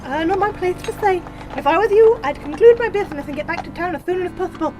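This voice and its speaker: A woman's voice: extremely high pitch, animated, fast. A woman voicing a kindly, wary, giant male cart driver with a folksy, rambling manner in a comic audio drama. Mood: uneasy. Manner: folksy, rambling, dialect grammar.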